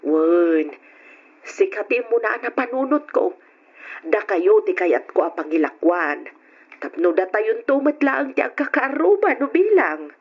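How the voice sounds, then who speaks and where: An earnest Ilocano family woman in an old radio drama. Her mid-pitched voice is husky and pleading, heard through a dull, lo-fi recording. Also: very animated, a little slow.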